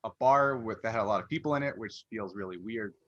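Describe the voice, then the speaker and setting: A man's voice: lowish voice, fast talker, a bit bright, lo-fi sound. A man hosting a casual podcast interview, talking in a rambling way that often interrupts itself.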